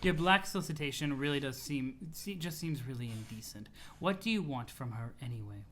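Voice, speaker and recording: Man as a prim, dryly reproving troll woman, crisply bright. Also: mid-range voice, animated, pitched below the performer's own voice, lightly acted. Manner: measured, wordy, dryly reproving. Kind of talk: comic read-aloud of a webcomic.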